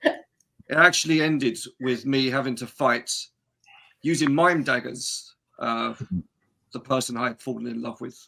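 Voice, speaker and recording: Guy doing a super serious mime adventurer, forceful creaky voice, deadpan n halting, tabletop game. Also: medium pitch, full character voice, low-quality recording. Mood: solemn. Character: solemnly absurd mime adventurer, the chosen one.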